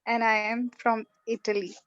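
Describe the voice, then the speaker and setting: A woman's voice: fairly high pitch, little pitch movement, moderate pace, a bit bright, dull audio, slight background noise. A student, a woman, reading a short text aloud.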